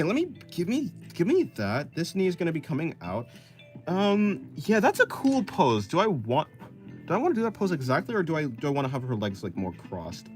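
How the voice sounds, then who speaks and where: A man in his twenties chatting casually on a livestream while drawing, thinking aloud and answering chat in loose, restarting sentences. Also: mid-range voice. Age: 20s. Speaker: streamer.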